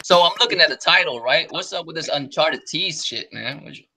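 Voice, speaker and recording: Animated slangy man, high voice. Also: brisk, gravelly. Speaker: podcast co-host. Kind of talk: casual gaming chat.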